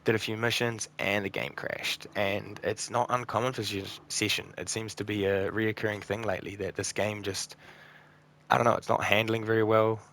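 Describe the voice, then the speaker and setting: A man hosting a gaming video, talking casually over gameplay in a croaky, slightly raspy voice, tired and burnt out, rambling with ums and trailing off. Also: fairly low pitch, level tone, moderate pace, fairly bright tone. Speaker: gaming channel host.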